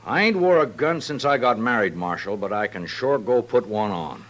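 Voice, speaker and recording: A man's voice: lowish voice, expressive, moderate pace, natural voice. A man with a rural American accent playing a proud, stubborn homesteader in a 1950s radio western, with folksy speech. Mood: defiant.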